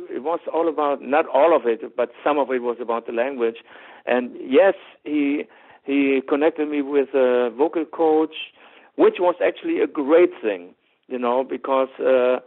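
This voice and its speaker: Gravelly German-accented man on a phone line. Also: mid-range voice. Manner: rambling, many fillers and restarts, easy laughs. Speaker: rock singer. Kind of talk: interview answers. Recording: tinny phone-line audio.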